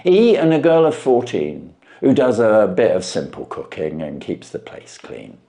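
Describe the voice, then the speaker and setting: A man's voice: fairly low pitch, very animated, unhurried, creaky, warm tone, heavily acted. A man voicing an earnest, long-winded, stay-at-home London widower who keeps a shop, telling his tale respectfully in a storybook reading. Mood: earnest, plaintive.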